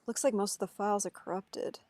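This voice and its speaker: A woman's voice: medium pitch, fairly even intonation, fairly quick, darkish tone, close to an everyday voice. A woman reading the part of a doubtful young man searching for his father in a table reading. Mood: puzzled. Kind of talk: online script table reading.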